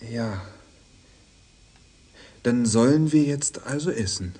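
A man's voice: medium pitch, animated, warm tone, breathy edge. An actor playing a shy, lonely young man in a crime radio play, answering hesitantly and awkwardly. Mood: shy, flustered. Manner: hesitant, halting short answers. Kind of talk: 1990s German crime radio play acting.

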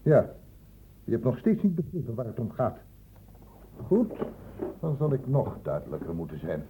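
An actor playing a stern, insistent doctor in a radio play, blunt and urgent, in a lecturing way. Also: male voice, medium pitch, huge pitch swings, moderate pace, a little hiss or hum. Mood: stern, probing. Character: stern, insistent male doctor questioning young people. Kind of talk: Dutch radio play acting. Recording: muffled old radio play recording.

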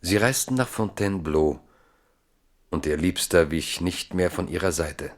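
Deep-voiced male radio-play narrator, grave and measured.

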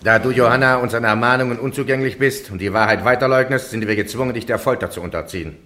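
An actor playing a grave, formal tribunal judge in a radio drama, speaking in measured phrases. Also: male voice, medium pitch, sharp tone, natural voice. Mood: grave, official. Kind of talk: classic German radio drama acting. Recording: echoey, roomy radio drama recording.

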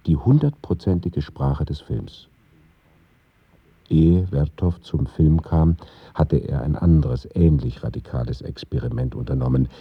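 A man narrating a scripted radio documentary in a husky voice. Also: extremely low pitch, no echo, dull audio.

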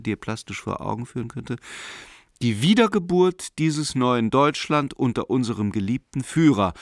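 A self-righteous banker turned fanatical believer played by a male actor in a radio drama. He speaks in measured, formal, declamatory phrases, in a middle-pitched voice recorded close and dry. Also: creaky, dry recording, lightly acted. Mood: fervent, exalted. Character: zealous German banker turned Nazi believer, coldly formal. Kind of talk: radio play letter monologue.

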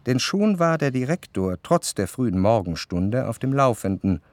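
A male actor as the reflective first-person narrator of a literary radio drama, speaking in a measured way. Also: medium pitch, sing-song, dry recording, natural voice. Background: faint music.

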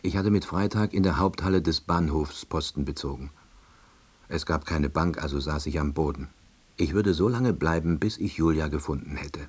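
A melancholy, jobless husband in a radio drama. His voice is very low and slightly husky, and he narrates in short, deadpan diary sentences full of dry self-pity. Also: moderate pace. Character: lonely, melancholy, laid-off East German husband, drily self-pitying. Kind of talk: scripted first-person narration.

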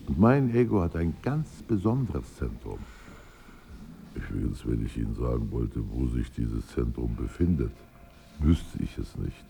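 A proud, provocative poet in a radio play. His voice is very low and gravelly, declaimed theatrically, moving between murmur and outburst. He sounds self-absorbed and nostalgic. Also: big pitch swings, unhurried, mellow, a little hiss or hum, exaggerated voice. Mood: brooding. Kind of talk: literary radio drama dialogue.

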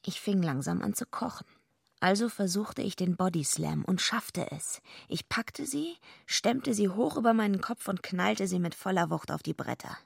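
A woman's voice: mid-range voice, expressive, normal speed, gravelly, slightly rough, no echo, studio quality, exaggerated voice. An actress playing a tough, bitter female wrestler who tells her own story brashly in a German radio drama. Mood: bitter, wry. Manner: brash, foul-mouthed. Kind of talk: German radio drama, first-person narration and dialogue.

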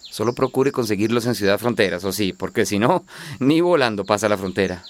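An actor playing an obliging, folksy innkeeper in a radio drama, chatty and persuasive. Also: male voice, on the low side, expressive, brisk, close to an everyday voice. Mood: reassuring. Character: folksy, obliging male innkeeper in a border town.

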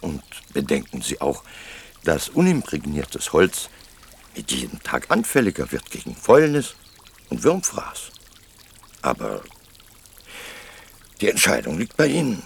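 A man's voice: very deep voice, huge pitch swings, measured pace, creaky, long pauses, breathy edge, exaggerated voice. A man acting in a radio play as a deferential businessman, polite, insinuating and persuasive. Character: deferential, wheedling Mexican businessman pressing a politician.

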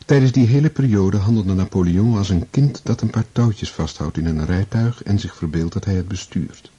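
A solemn narrator of a war epic, with a very low, dark voice. The delivery is measured radio drama storytelling, heard on a dull, muffled recording. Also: male voice, slightly rough. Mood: grave, measured.

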